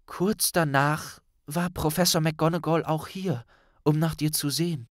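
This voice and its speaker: A man's voice: fairly high pitch, steady tone, a little slow, dry recording, studio quality, pitched above the performer's own voice, natural voice. Audiobook teenage witch, played by a man: earnest and stern, lifted voice, urgent delivery. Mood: serious, urgent. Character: earnest, worried teenage witch, young woman. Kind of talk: audiobook character dialogue.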